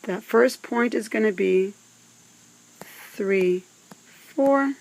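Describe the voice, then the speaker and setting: A woman teaching math step by step, speaking in a deliberate, instructive way with pauses between phrases. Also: mid-range voice, darkish tone, rough recording. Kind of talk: scripted step-by-step instructional narration.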